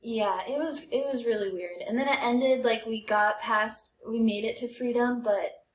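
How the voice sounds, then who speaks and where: High-voiced young American woman, roomy sound. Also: normal speed. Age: young adult. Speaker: comedy podcast co-host. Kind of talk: casual chat, personal storytelling. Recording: roomy, echoey podcast sound.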